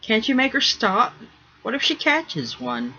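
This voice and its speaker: Excitable, wide-eyed storybook merboy played by a man, raised pitch, dull recording. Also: a little slow, full character voice. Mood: worried. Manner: wide-eyed, pleading questions. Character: naive, excitable young merman boy.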